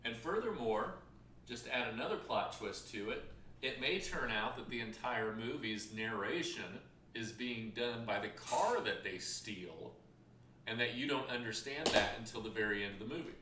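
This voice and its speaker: Male co-host, bright and forceful, casual halting explainer, off-mic in a roomy, slightly noisy space. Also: medium pitch, lively intonation. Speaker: show co-host and writer. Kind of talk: casual chat, pitching story ideas. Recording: distant, echoey mic with background noise.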